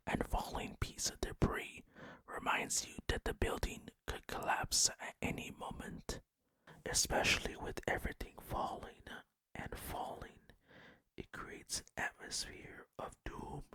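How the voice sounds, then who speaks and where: A gaming creator speaks in a sustained, breathy whisper for ASMR commentary. The delivery is crisp on the sibilants and very dry, as if right up at the microphone. It moves between read-out facts and casual asides. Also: pushed and bright, dry recording. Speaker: gaming video creator narrating over gameplay. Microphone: close microphone, dry sound.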